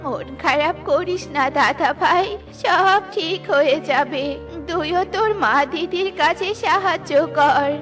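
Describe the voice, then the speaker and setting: Old grandmother bird, acted by a woman: gentle, unhurried, caring cartoon elder, music underneath. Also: very high voice. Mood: tender. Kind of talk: children's cartoon voice acting. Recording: music behind the voice.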